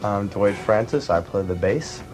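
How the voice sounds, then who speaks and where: A man who plays bass, answering casually as a guest on an old 1980s radio recording. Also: a little slow, darkish tone, faint music behind. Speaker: bass player, interview guest.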